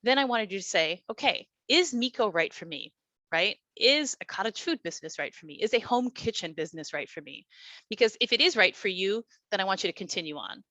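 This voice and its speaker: A woman's voice: mid-range voice, expressive, brisk, bright, forceful tone, dry recording. A woman teaching an online class, talking in an encouraging, conversational way with many fillers over a video call. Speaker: class instructor.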